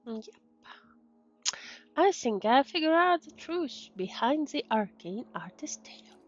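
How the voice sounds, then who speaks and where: A woman's voice: sits high, very animated, unhurried. A woman VTuber giving casual commentary on a game and reading dialogue aloud. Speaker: VTuber streamer. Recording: dull microphone, game music behind.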